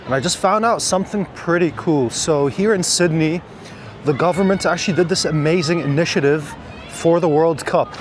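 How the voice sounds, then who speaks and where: A man's voice: on the high side, normal speed, some chatter behind. Chatty man vlogging on a noisy street. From Egypt. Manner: casual, chatty, many fillers. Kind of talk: walking travel vlog narration. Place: outdoors on a city street at night. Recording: street noise behind.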